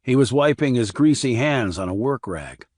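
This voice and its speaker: A man's voice: medium pitch, animated, moderate pace, darkish tone, no echo, clean studio sound. A man reading scripted crime thriller narration as an audiobook narrator.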